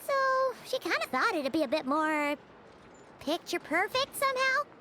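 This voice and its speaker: A woman voicing a tiny, childlike fairy companion in a video game, squeaky, chirpy, curious and exclamatory. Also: big pitch swings, moderate pace, background noise. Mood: musing. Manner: refers to self in third person, exclamatory. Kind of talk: video game character dialogue. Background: music.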